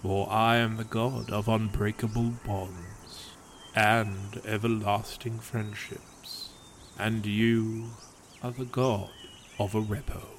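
A man's voice: lowish voice, little pitch movement, slow pace, a little hiss or hum. A man voicing a loyal male god of friendship who speaks in an earnest, soothing way. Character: devoted young male god, warm and loyal.

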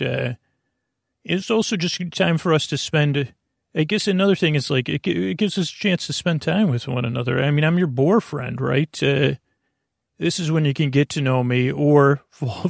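Male sleep-podcast host: fry-heavy voice, halting, wandering casual chat, very dry close recording. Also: on the high side, dry recording. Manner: meandering, halting, trails off and goes off topic. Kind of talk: rambling casual monologue to help listeners fall asleep.

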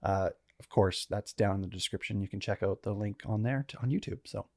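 A man's voice: fairly low pitch, brisk, warm tone, no room sound, studio quality. Joking young man co-hosting a podcast. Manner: easygoing, joking, leads the story. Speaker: podcast co-host. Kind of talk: casual chat.

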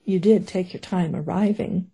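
Woman reading as a rattled earnest widowed lady, low husky voice. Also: fairly even intonation, moderate pace, mellow, exaggerated voice, low-quality recording.

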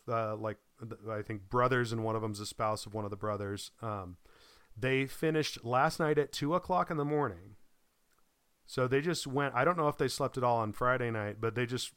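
A man's voice: medium pitch, a bit bright, no room sound. A man from Boise chatting casually as a podcast co-host, rambling with many ums and restarts, still recovering from a lost voice and coughing. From Boise, Idaho. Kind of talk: casual chat.

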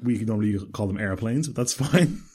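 Fast-talking Irish man, playful. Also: fairly low pitch, lively intonation, darkish tone, husky. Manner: playful, reads listener questions. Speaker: podcast co-host. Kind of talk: casual banter.

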